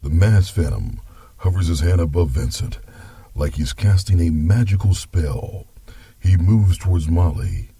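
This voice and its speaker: A man with a husky voice narrating a scripted story in a dramatic style. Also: sits low, unhurried, dark tone, no room sound.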